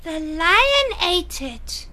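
Give the storybook an earnest little boy, played by a woman. His voice sits very high and bright, and he speaks slowly and plainly. He is excitable and childlike, with every feeling out in the open. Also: full character voice. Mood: sheepish, earnest. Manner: expressive, childlike, plain-spoken. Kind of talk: children's storybook character acting.

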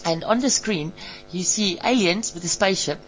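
A woman's voice: mid-range voice, moderate pace, lo-fi sound, a little hiss or hum. A woman casually describing cartoons aloud, amused and laughing between phrases.

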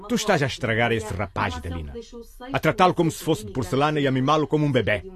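A man's voice: mid-range voice, very animated, fast talker, a crowd in the background. A man playing a stern, short-tempered father in a radio drama, with a harsh, commanding manner. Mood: angry, commanding.

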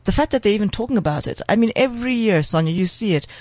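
A man, a guest on a talk show, arguing in an impassioned, emphatic way with quick bursts of speech. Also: sits high, lively intonation, dry recording. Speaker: guest commentator.

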